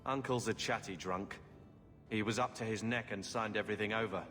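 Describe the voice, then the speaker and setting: An actor as a sullen low-voiced younger brother. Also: male voice, fairly even intonation, brisk, a bit bright, in a large hall, faint music behind, natural voice. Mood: bitter, resigned. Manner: sullen, sarcastic. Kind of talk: video game voice acting.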